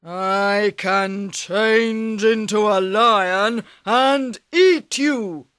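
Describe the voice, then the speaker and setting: Woman putting on a slow booming ogre. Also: fairly bright tone, no echo, clean studio sound. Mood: menacing. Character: big gruff male ogre, menacing.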